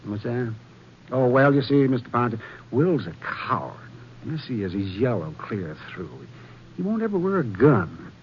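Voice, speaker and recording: An American actor playing a chatty, gossipy small-town hotel clerk with rustic, rambling speech in a 1950s radio western. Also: male voice, medium pitch, big pitch swings, moderate pace, lightly acted. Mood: scornful, confiding. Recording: dull, faintly noisy vintage radio recording.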